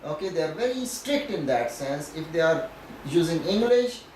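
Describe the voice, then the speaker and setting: A man's voice: a little high, very animated, moderate pace, a little mellow. A man from Pakistan with a Pakistani accent and Punjabi as his mother tongue, explaining things in an interview in a didactic way, often checking in with "okay". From Pakistan, Punjab region. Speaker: professor being interviewed. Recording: echoey, distant mic, faint noise.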